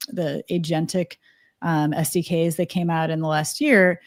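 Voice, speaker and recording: A woman guest on a live stream, talking fast with many fillers and restarts. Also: low voice, little pitch movement. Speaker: guest from a web design company. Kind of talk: casual live-stream interview.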